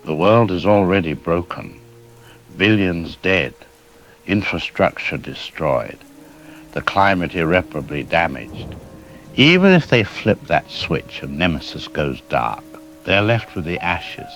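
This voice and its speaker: Guy doing a creepy urbane storyteller, really deep voice, wry and ominous, audio drama narration w music behind. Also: lively intonation, unhurried, a bit bright, exaggerated voice, low-quality recording. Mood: grim, questioning. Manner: suave, musing, ominous. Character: sardonic, urbane, sinister host of dark tales.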